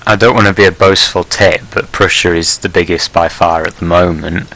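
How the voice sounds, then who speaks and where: A man with a very low voice and a bright, forceful edge to it. He chats casually on a podcast and rambles through his points with plenty of fillers and restarts. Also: steady tone, a little fast, poor audio. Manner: laughs at his own blunt jokes. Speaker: leader of a Prussian group in an online game.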